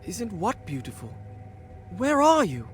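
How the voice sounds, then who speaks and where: An actor playing a proud, impatient young prince in a dramatic video game adventure. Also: male voice, high-pitched, wildly swooping pitch, measured pace, slight background noise, heavily acted. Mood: searching, exasperated.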